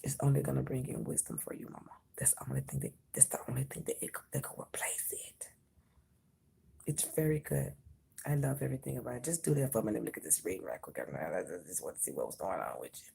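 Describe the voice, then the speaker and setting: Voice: medium pitch, big pitch swings, fast talker, gravelly, warm tone, husky. A tarot reader giving an intimate, whispered reading to the viewer, emphatic and reassuring, calling the listener honey and mama. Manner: emphatic, reassuring, repeats phrases.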